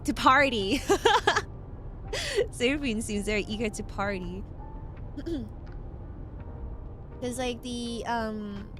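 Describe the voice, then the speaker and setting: A woman VTuber with a hoarse voice, chatting casually with her stream, rambling and giggling often. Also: a little high.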